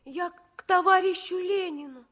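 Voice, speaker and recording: A woman's voice: extremely high pitch, fairly bright tone. An actress playing an earnest, eager little girl with a childlike, emphatic way of talking, in a 1960s radio drama. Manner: childlike, wondering, slow and emphatic.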